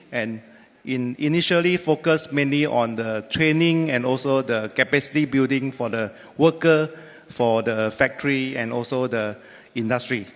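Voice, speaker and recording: A man interpreting a panelist's answers into English at a business summit, steady with small hesitations. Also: mid-range voice. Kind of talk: consecutive interpreting. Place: large conference hall. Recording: reverberant conference hall.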